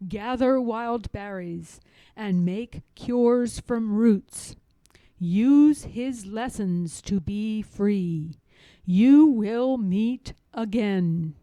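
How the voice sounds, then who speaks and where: A divine storybook spirit, voiced by a woman, speaks very slowly and solemnly. The delivery is calm, protective and reassuring, with a soft, mellow tone. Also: mid-range voice, no echo, low-quality recording.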